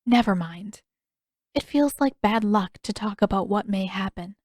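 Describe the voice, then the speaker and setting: Female narrator as young princess, gentle, formal, measured, wistful, storybook style, dry close sound. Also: medium pitch, dry recording, natural voice. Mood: reserved, regretful.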